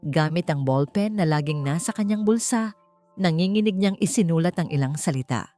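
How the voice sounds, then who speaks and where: A woman with a fairly low voice reads a dramatic story as an audiobook narrator. Her delivery is deliberate and suspenseful, landing short phrases with emphasis. Soft music plays behind her. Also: expressive. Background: background music.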